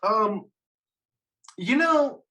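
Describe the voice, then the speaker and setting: A man in casual panel chat, jokey and halting, swearing casually as he thinks aloud. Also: sits high, expressive, sharp tone, a little hiss or hum. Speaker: podcast panelist.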